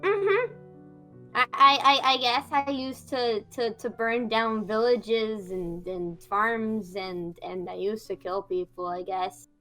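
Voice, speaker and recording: A woman with a bright, somewhat high voice plays a reformed fire mage, hesitant and stumbling over repeated words. Faint music sits behind her. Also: takes it slowly, rough recording. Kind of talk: improvised character roleplay.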